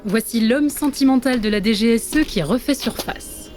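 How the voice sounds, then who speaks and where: A woman's voice: on the high side, normal speed, a little mellow, soft background music, lightly acted. A woman playing a sardonic, teasing secret agent in a spy audio drama, casual and needling.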